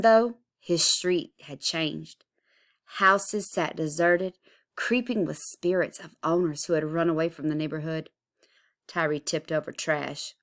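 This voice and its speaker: A woman reading a children's picture book aloud expressively, with deliberate, dramatic emphasis. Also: low-pitched, a bit bright. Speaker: storybook reader.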